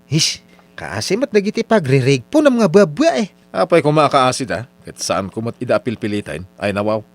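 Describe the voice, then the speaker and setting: A man's voice: mid-range voice, very animated, brisk, no room sound, lightly acted. A Filipino man with an Ilocano accent acting in a radio drama as a carefree, lazy young womanizer. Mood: animated banter.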